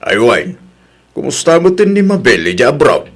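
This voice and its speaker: An actor playing a caring elderly father in a radio drama, gently admonishing his grown son. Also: mid-range voice, very animated, moderate pace. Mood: concerned.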